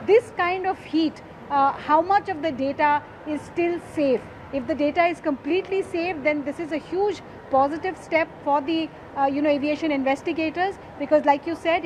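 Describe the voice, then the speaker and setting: Clearly noisy outdoor field audio carries a high-pitched woman reporter with an Indian accent. She reports live, explaining things emphatically. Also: fairly even intonation, normal speed, hardly any pauses. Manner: emphatic, explanatory, rhetorical questions. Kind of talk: live on-location news reporting.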